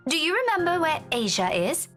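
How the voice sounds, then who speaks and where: A woman's voice: sits high, very animated, a little fast, fairly bright tone. A woman playing a kind young woman in a visual novel game, speaking politely and with composure. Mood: gentle, reassuring. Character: kind young woman, gentle and patient with a child. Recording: music behind the voice.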